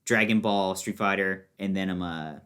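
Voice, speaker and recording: A man's voice: deep voice, fairly even intonation, normal speed, fairly bright tone. A young American man chatting casually as a podcast guest, explaining things in an informal, rambling way full of fillers. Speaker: podcast guest, fighting game player.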